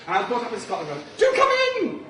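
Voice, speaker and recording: A man's voice: sits high, wildly swooping pitch, fast. A man from Liverpool, a drag performance poet, bantering camply with a live crowd and reciting his poem. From Liverpool, England. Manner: camp, flamboyant, chatty with the crowd. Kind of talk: live stage poetry with banter. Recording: echoey room from far off, noisy.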